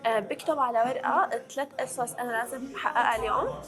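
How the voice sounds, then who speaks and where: A woman's voice: on the high side, racing. A woman in her early twenties with a Levantine Arabic accent, chatting casually to camera as a vlog host and slipping in English words. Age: early 20s.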